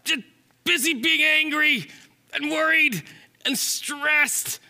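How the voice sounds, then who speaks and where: Storybook squirrel, played by a man: stressed, easily frustrated, whiny, high and sharply bright. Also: moderate pace, exaggerated voice. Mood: angry, exasperated. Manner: whiny exclamations, screams when upset.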